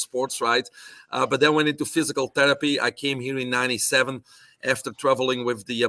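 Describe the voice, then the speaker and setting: A man's voice: mid-range voice, pushed and bright, no room sound. A middle-aged man from Belgium with a Belgian accent, talking as a guest in a casual interview, listing things off with many fillers. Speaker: guest, holistic nutrition doctor.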